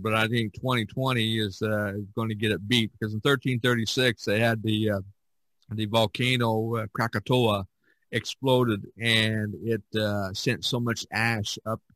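A man hosting a casual podcast chat, reminiscing in a halting, rambling way and often repeating his words. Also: medium pitch, a bit bright, no room sound. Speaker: podcast host.

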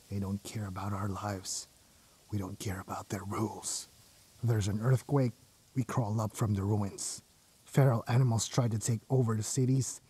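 An actor in an audio drama playing a hardened, commanding rebel gang leader, fiercely loyal and ruthless, with a husky voice. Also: male voice, lowish voice, dark tone. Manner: commanding, intense. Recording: music under the voice.

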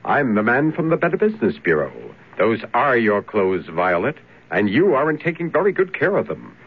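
A man's voice: medium pitch, very animated, normal speed, husky. An actor playing a helpful, instructive spokesman in a 1970s radio public service spot. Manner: instructive, emphatic. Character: helpful male consumer-advice spokesman. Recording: tinny, muffled old radio recording.

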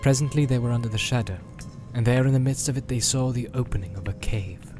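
A man narrating a fantasy audiobook in a measured, solemn, dramatic way, drawing out his phrases. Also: medium pitch. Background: music.

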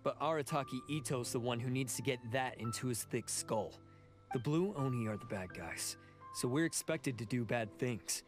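An actor voicing a proud, bitter outcast oni demon in a video game, speaking with earnest, scornful drama. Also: male voice, medium pitch, normal speed, lightly acted. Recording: game music over the voice.

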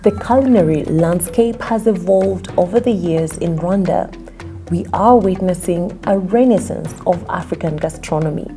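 A woman's voice: on the low side, normal speed, mellow. A woman reading scripted narration for a news feature. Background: music bed.

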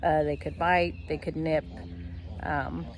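A woman's voice: sits low, flat delivery, slow, a little hiss or hum. Chatty young woman vlogging outdoors. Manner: chatty, explaining with fillers and restarts. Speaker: homestead vlogger. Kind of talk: casual vlog talk to camera. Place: outdoors by a shed in a fenced yard. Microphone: phone held at arm's length.

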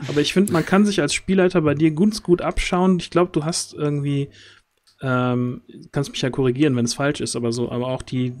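Man with higher-pitched voice, halting, thoughtful. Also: steady tone. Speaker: tabletop role-play streamer and player. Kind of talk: casual chat, giving feedback after a game. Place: indoors on a group video call.

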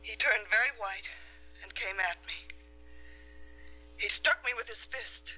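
A piercingly bright, anguished wronged wife. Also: female voice, medium pitch, a little slow, low-quality recording. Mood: haunted, anguished. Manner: intense, emotional, confessional delivery. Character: distraught woman claiming to be a murdered wife reborn. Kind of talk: dramatic film or television acting.

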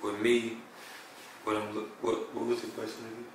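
Laid-back young man, slightly raspy voice. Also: on the low side, completely flat delivery, normal speed, slight background noise. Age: young adult. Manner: laid-back, rambling, lots of "you know what I'm saying". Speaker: blind date contestant. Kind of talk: casual chat. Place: living room indoors. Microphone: far camera. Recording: echoey room from far off.

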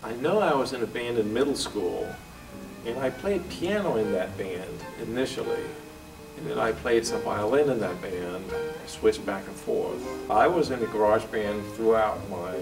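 A man's voice: lowish voice, over music. Danish man speaking from a distance. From Denmark. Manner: relaxed, thoughtful, pauses with fillers. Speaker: guest jazz violinist. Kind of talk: casual interview answers. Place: office room, indoors.

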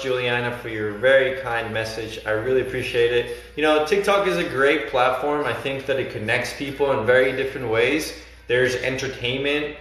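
A man's voice: medium pitch, a bit bright. A man in his thirties from Argentina, a life coach chatting earnestly with viewers on a phone livestream from his living room. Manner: earnest, encouraging, reads out viewer comments. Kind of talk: casual livestream chat with viewers. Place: bright living room indoors. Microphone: phone at arm's length. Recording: very echoey room with faint music.

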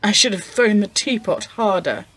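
A woman's voice: medium pitch, lively intonation, moderate pace, a bit bright, a little hiss or hum, close to an everyday voice. A woman playing a genteel, anxious upper-class 1920s wife in a radio play, formal and pleading. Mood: strained, formal.

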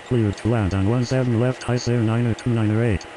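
Man on radio, loud background noise. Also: medium pitch, fairly even intonation, a little fast, low-quality recording. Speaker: air traffic radio voice in a game. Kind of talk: clipped scripted aviation radio phraseology.

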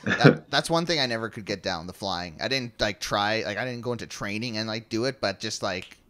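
A man's voice: lowish voice, fairly quick, a bit bright. A young man co-hosting a gaming podcast, joking and chatting easily with friends. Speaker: podcast co-host. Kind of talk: casual group chat on a video call.

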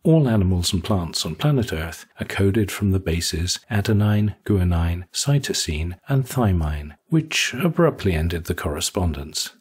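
A man reading scripted audiobook narration in a raspy voice. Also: deep voice, big pitch swings, measured pace, hardly any pauses, a little mellow.

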